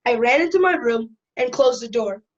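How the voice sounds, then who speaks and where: High-voiced cheeky schoolboy played by a woman, dull recording. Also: expressive, moderate pace, lightly acted. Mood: chatty, amused. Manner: wry, conversational. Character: cheeky, chatty schoolboy narrating his family troubles. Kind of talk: first-person storytelling in audio drama.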